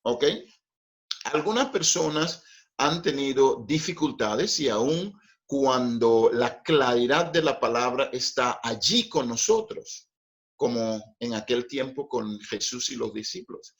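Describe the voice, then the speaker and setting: A man's voice: mid-range voice, lively intonation. A middle-aged man, a native English speaker, preaching a Bible study in a careful, teaching manner with frequent checks of "ok?". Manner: slow, deliberate teaching with frequent "ok?" and "pues".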